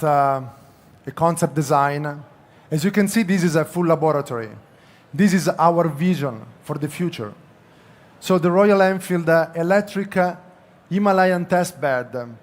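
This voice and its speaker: An Italian man with an Italian accent giving a product unveiling speech at a press conference, halting often as he goes. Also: fairly high pitch. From Italy. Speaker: company executive presenting at a press conference.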